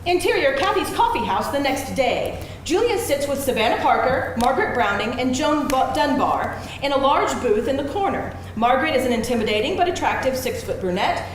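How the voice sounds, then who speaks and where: A woman's voice: high-pitched, animated, brisk, few pauses. Woman, scripted narration, stage directions, live table read, strong echo, faint background noise. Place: live event room before an audience.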